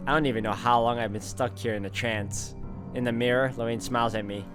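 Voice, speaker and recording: A man's voice: mid-range voice, fairly even intonation, a little fast, over music. A man playing a visual novel, reading its text aloud expressively with casual commentary. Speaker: video game let's player.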